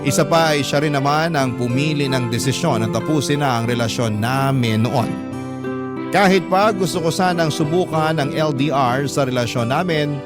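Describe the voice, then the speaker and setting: An actor playing a reflective young man, earnestly narrating his lost love story in measured phrases for a Tagalog radio drama. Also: mid-range voice, close to an everyday voice. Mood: reflective, wistful. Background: loud music under the voice.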